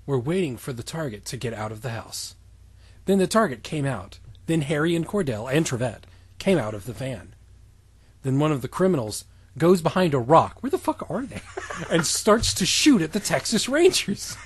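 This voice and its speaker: A man's voice: a little high, big pitch swings, moderate pace, slightly rough. A man co-hosting a comedy podcast, narrating a bad story with mock seriousness and frequent laughter. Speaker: podcast co-host and narrator of the reading. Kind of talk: casual comedic reading and banter.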